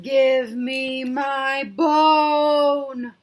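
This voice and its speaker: A woman voicing an insistent, eerie ghost from a children's storybook, making very slow, drawn-out demands. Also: high-pitched, level tone. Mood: loud, demanding.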